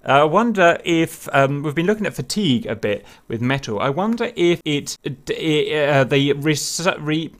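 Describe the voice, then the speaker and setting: A man's voice: a little high, lively intonation, normal speed, few pauses. A man leads a loose group discussion over voice chat. He thinks aloud, trailing off into fillers and asking the others what things are. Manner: thinks aloud, many fillers and wondering questions. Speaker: host leading a group discussion. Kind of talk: casual group chat over a cockpit picture.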